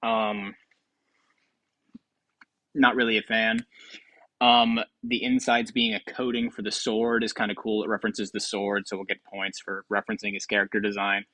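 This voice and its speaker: A man's voice: lowish voice, little pitch movement, moderate pace, sharp tone, dry recording. A man giving casual, rambling commentary as a video host, hesitant and self-deprecating, close to the mic. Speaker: video host ranking game voice lines.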